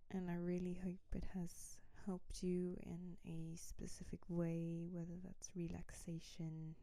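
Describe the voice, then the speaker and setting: A woman speaks as a host in a whispery ASMR style, slow and soothing. Her voice is lowish, very dark and mellow, and flat in melody, recorded close and dry. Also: no room sound. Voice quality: whispery, breathy softness. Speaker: channel host. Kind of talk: soft ASMR outro narration.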